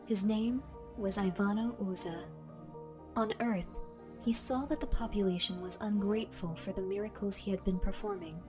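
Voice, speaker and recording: A woman voicing a calm, all-knowing female artificial intelligence that lives in a hero's belt, speaking in a measured, formal way. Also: medium pitch, natural voice. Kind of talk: fan audio drama. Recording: music bed underneath.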